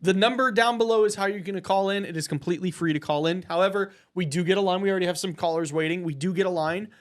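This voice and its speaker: A man hosts a live call-in show with a rather high voice. He talks fast and upbeat, chatting casually and running through the show announcements. His delivery is brisk and friendly. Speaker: host.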